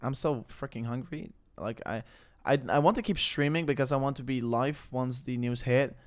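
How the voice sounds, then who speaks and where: A man's voice: mid-range voice, moderate pace. A young man with a Dutch accent hosting a live crypto stream, rambling casually, often breaking off and restarting his sentences. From Netherlands. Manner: rambling, self-interrupting, hesitant with fillers. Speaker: crypto livestream host.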